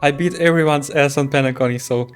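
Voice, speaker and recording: Playful game streamer, medium pitch. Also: fast talker, soft background music. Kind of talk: casual gameplay reaction commentary.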